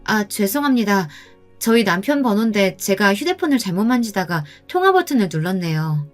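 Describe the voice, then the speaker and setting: A woman plays a young newlywed wife in an audio story. She speaks earnestly and questioningly at a medium pitch, over soft music. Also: very animated, brisk, natural voice. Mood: polite, taken aback. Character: worried, frustrated young newlywed wife, polite to strangers.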